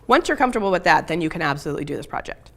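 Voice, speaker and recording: A middle-aged woman, hoarse and raspy after being ill, teaching a live crochet tutorial in a chatty way with frequent ums. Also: lowish voice, big pitch swings, rapid-fire, a bit bright. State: hoarse from illness. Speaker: crochet instructor.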